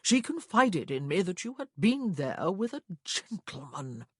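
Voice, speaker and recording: A woman's voice: lowish voice, very animated, measured pace, husky, no room sound, clean studio sound, exaggerated voice. A woman voicing a fussy, anxious upper-class brother in an audiobook, with an earnest, blustering manner. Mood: nervous.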